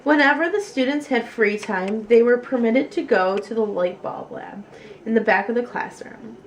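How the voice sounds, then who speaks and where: A woman storyteller reading a children's picture book aloud with expressive, deliberate phrasing. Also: on the high side, rough recording.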